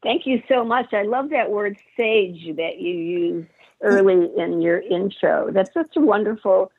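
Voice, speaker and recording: A woman's voice: mid-range voice, a little slow, breathy edge, dry recording. A woman in her eighties, a guest in a casual interview, who talks frankly and often interrupts. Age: 80s. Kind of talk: conversational interview. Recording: tinny phone line.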